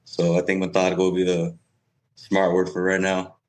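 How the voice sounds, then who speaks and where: A man's voice: low-pitched, completely flat delivery, moderate pace. A young man speaking casually as an interview guest and pro player. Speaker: guest, professional cornhole player.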